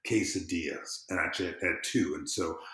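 Low-voiced man in a roomy space. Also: brisk, pushed and bright. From American South. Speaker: podcast guest. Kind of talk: casual interview chat. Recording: roomy, echoing sound.

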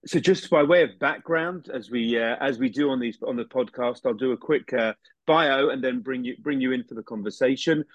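A man's voice: mid-range voice, moderate pace, no room sound, lo-fi sound. A man hosting an interview podcast, introducing his guest formally with a few restarts. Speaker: podcast host.

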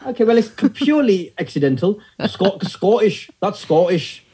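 A comedian in his thirties with a northern English accent, telling stories in a relaxed interview with wry, self-deprecating humor. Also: high voice, normal speed, breathy edge. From north of England. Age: 30s. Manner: wry storyteller, self-deprecating, many fillers. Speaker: stand-up comedian guest. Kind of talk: casual interview chat.

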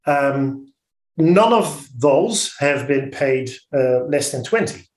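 A man with a Dutch accent speaking as an interview guest, blunt and outspoken, often halting and repeating words. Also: medium pitch, lively intonation, roomy sound, rough recording. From Netherlands. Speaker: interview guest, orchard business owner. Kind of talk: radio interview.

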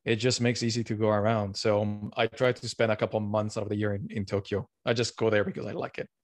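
A man from Colombia answers interview questions in an easy, friendly way, laying out his ideas step by step. His voice sits somewhat low, and the recording is dry and close with no room sound. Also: fast, dry recording. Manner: relaxed, explanatory, friendly. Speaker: podcast guest.

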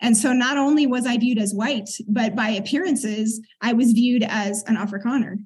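Woman guest, kinda husky, bit high voice, earnest and thoughtful, clears her throat a lot. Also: moderate pace, a little mellow. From United States. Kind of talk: conversational interview storytelling.

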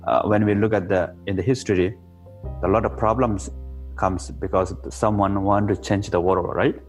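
A man's voice: fairly low pitch, fairly even intonation, normal speed, a little mellow, faint music behind. A man from Nepal with a Nepali accent, telling stories about meditation in a playful interview, in simple, broken English. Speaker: meditation teacher. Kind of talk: relaxed interview conversation.

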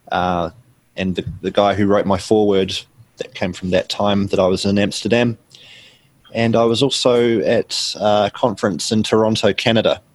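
Man, New Zealand accent, middle-aged, measured, slightly low pitch, explanatory. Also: dry recording. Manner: measured, explanatory, with frequent ums and restarts. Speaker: guest author. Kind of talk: remote interview answers.

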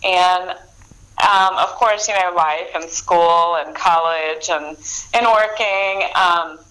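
A woman's voice: lowish voice, fairly even intonation, slow pace, pushed and bright, poor audio. A woman, a novelist answering interview questions in loose, friendly talk full of fillers and laughter. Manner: chatty, laughs often, many fillers and restarts. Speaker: author guest.

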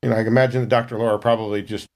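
A man's voice: lowish voice, flat delivery, racing. A man chatting casually as a player in a tabletop roleplaying game, making up the scene as he talks. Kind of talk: casual improvised game chat.

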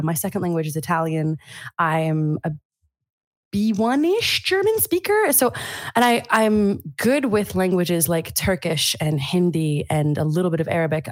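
A woman voice actor and singer, chatting as a podcast guest in an animated way that is quick to laugh. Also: fairly low pitch, no room sound. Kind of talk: casual conversation.

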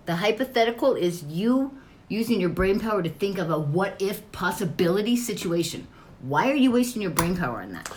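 A woman of middle pitch speaks firmly and insistently in a tense personal talk. She keeps cutting in with short, pointed demands. Faint background noise runs under her voice. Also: sing-song, a little fast, a little mellow. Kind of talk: heated personal conversation.

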